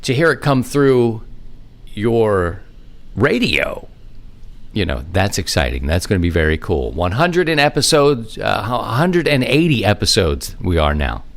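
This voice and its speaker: A middle-aged man with a fairly low voice hosts a podcast on his own. He talks casually and conversationally, wandering from one thought to the next with animated asides. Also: a little slow, gravelly. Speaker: amateur radio podcast host. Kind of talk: casual solo podcast monologue.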